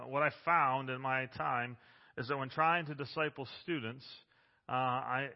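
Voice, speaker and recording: A man in his forties preaching a sermon as pastor, loud and emphatic, with frequent restarts, recorded on a microphone in a church. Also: a little high. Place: church sanctuary. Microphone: handheld or stand microphone. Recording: dull sound with long hall reverb.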